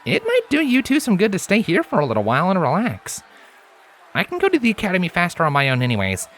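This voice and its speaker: Man acting an easygoing stallion, lifted pitch. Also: huge pitch swings, fast talker, fairly bright tone, exaggerated voice. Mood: reassuring, persuasive.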